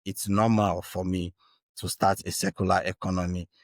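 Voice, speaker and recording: A man's voice: sits low, little pitch movement, normal speed, no echo, studio quality. A man from Nigeria with a Nigerian accent and Igbo as his first language, explaining earnestly in conversation and often checking in with small tags. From Anambra State, Nigeria. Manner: earnest, checks in with tags like "right" and "you know". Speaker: interview guest, Bitcoin educator.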